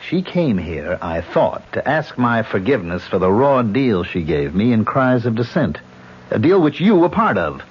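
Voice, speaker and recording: A low-voiced, resentful man, sarcastic and guarded, his pitch swinging widely. The old radio recording is dull, with a faint hiss underneath. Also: big pitch swings, moderate pace. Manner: guarded and sarcastic, flaring into shouted threats. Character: bitter, resentful man who turns to threats. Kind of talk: 1970s radio drama acting.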